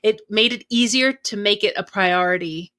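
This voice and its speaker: A woman's voice: mid-range voice, normal speed, sharp tone. A woman co-hosting a podcast, talking candidly and laughing at herself in casual chat. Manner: candid, giggly, self-deprecating. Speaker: podcast co-host.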